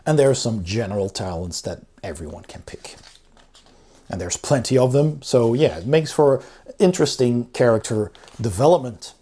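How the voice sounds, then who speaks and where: Guy doing a laid back review voiceover, soft dark tone, middle pitch, explains stuff step by step. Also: animated, normal speed, husky. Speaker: board game reviewer and channel host. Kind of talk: casual explanatory review voice-over.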